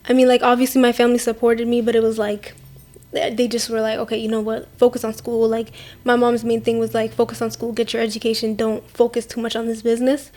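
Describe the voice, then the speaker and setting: Chatty young woman, flat delivery. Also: a little high, fast talker. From Portland, Maine, USA. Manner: chatty, many fillers, quotes others. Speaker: guest, makeup artist. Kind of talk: casual interview talk.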